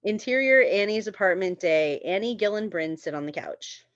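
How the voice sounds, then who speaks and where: A woman's voice: medium pitch, lively intonation, moderate pace, dry recording, dull audio. A woman reading stage directions as scripted narration at a screenplay table read.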